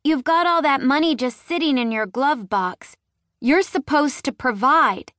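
A woman's voice: sits high, lively intonation, a little slow, fairly bright tone, dry recording, clean studio sound, voice pushed up. Woman doing a frightened little girl. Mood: pleading. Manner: childlike, pleading, breathless. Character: sassy four-year-old girl, frightened.

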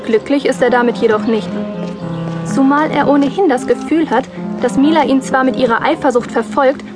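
A woman's voice: high voice, normal speed. Wry young woman narrating a radio play. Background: music.

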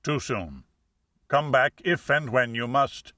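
A male narrator plays a hefty, imperious private detective from a mystery audiobook. He talks in blunt, formal, clipped pronouncements, with a bright edge, recorded dry. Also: medium pitch, expressive, takes it slowly, no echo. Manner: formal, curt, grunting and muttering.

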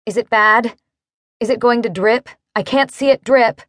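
A woman's voice: sits high, sing-song, normal speed, a little mellow, no echo, clean studio sound, voice pushed up. A woman voicing a shaken, feisty heroine in an audiobook story, agitated and accusing. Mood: panicky, squeamish.

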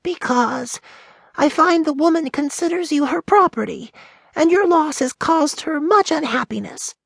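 Popopo, stern bird guardian. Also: male voice, very high-pitched, expressive, measured pace, gravelly, fairly bright tone, no echo, pitched above the performer's own voice, full character voice. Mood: earnest. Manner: stern, earnest pleading. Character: Popopo, a magical male sprite guardian of birds.

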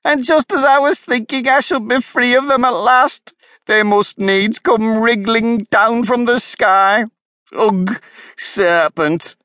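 A man doing a shrill, scolding pigeon. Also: unhurried, fairly bright tone, dry recording, clean studio sound. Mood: shrieking, aggrieved. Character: fretful, shrill female pigeon guarding eggs.

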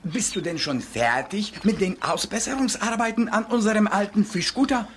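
A woman's voice: mid-range voice, lively intonation, normal speed, a little hiss or hum, close to an everyday voice. A woman voicing a cheeky, playful young creature in a children's audiobook, talking in a sing-song, giggly way. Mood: cheerful. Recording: children's audio drama with music behind.

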